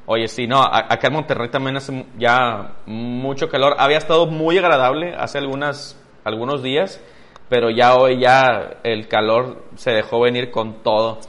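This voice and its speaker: A man's voice: medium pitch, fairly even intonation, moderate pace, fairly bright tone. A man hosting a casual interview in an informal, encouraging way. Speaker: podcast host.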